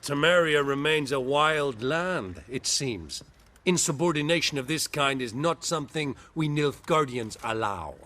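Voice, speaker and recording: A haughty imperial general in a fantasy game. His voice is bright and a little high, with sweeping intonation, giving clipped formal orders full of disdain. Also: expressive, measured pace. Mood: disdainful. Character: haughty, imperious male general of an invading empire. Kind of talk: fantasy video game acting.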